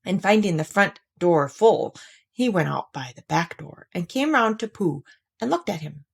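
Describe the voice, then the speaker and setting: A woman reading a children's story aloud in an expressive narrating style. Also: on the low side, moderate pace, gravelly, slightly rough. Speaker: story reader.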